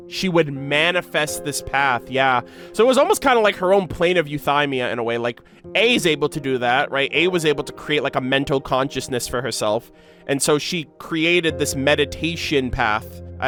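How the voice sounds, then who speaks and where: A man's voice: fairly high pitch, a bit bright. A man hosting a game reaction video, commenting and reading story text aloud over music.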